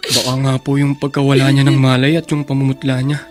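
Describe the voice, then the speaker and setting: A man's voice: mid-range voice, completely flat delivery, fast, soft background music, close to an everyday voice. A man playing a concerned, respectful young elder brother in a radio drama.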